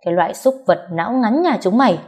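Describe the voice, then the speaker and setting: A woman voicing a fiery, foul-mouthed younger sister who shouts and scolds in a high, sharp voice. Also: lively intonation, normal speed, natural voice. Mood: furious. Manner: loud and fierce.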